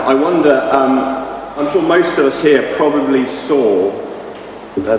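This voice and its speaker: Croaky male preacher, hesitant and restarting, heard from across a reverberant hall. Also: on the low side. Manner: halting, with many restarts and ums. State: slightly croaky voice. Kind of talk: sermon. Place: church hall. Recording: echoey hall from far off, noisy.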